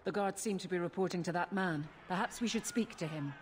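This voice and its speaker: A woman's voice: lowish voice, fairly quick, slight background noise, close to an everyday voice. A woman playing a firm, blunt, practical refugee in a fantasy game.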